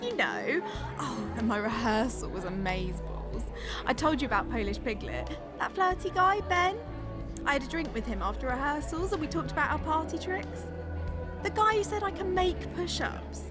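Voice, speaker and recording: A woman's voice: sits high, lively intonation, moderate pace, music in the background. An actress playing a manipulative, theatrical young girlfriend in a radio drama, gushing one moment and self-pitying the next. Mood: breezy, gushing. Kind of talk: naturalistic radio drama acting.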